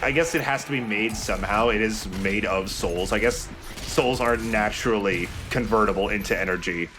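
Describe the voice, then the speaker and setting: A man's voice: on the low side, normal speed. A man giving casual, explanatory game commentary as a let's play host. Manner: explanatory, conversational. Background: game music.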